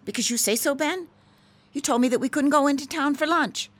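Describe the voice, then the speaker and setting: A woman's voice: high voice, a little fast, natural voice. A woman acting a resentful, lonely middle-aged wife and mother in a radio drama. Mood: resentful, sarcastic.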